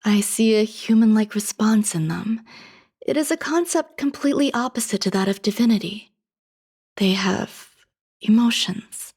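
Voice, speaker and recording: A woman's voice: mid-range voice, animated, darkish tone, slightly rough. Detached, reflective woman scientist. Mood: detached, pensive. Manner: measured, clinical, introspective. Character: detached, reflective female scientist genius pondering emotion. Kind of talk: scripted video game cutscene acting.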